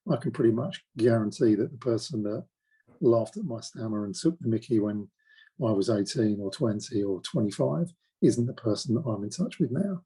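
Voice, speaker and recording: A man's voice: lowish voice, moderate pace, dark tone, breathy edge, low-quality recording. A man in his forties who stammers, telling his own story in a hesitant, reflective way with many restarts. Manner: stammers, with repeated words and blocked sounds. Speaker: interviewee. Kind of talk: reflective personal account.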